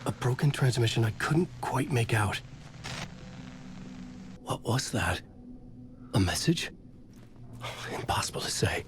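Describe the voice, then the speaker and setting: An actor playing a haunted, brooding writer, narrating a horror game in a grave, measured, introspective way. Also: male voice, mid-range voice, animated, background noise. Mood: haunted, uneasy. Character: haunted male writer trapped in a nightmare, brooding. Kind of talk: scripted first-person narration in a psychological horror game. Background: climactic cinematic music.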